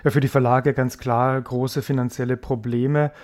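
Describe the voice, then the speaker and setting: A man's voice: mid-range voice, level tone, moderate pace, darkish tone. A man working as a foreign correspondent, answering radio interview questions in a thoughtful, explanatory way with frequent hesitations.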